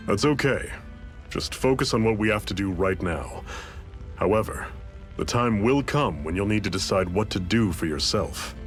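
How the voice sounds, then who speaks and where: An actor playing a seasoned, fatherly rebel leader in a video game, with a relaxed, folksy, mentoring way of talking. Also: fairly low pitch, moderate pace, faint music behind, close to an everyday voice. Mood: patient, explaining.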